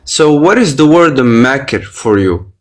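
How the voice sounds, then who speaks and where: A man's voice: medium pitch, animated, measured pace, a little hiss or hum. A man debating online, pressing his point with repeated questions and frequent hesitant fillers.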